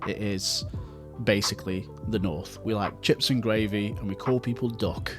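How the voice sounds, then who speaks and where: A man's voice: on the low side, steady tone, moderate pace, over music. A man in his forties from England with a light Stoke-on-Trent accent, telling his life story in a chatty vlog with self-deprecating asides. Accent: light Stoke-on-Trent English. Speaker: software developer vlogger.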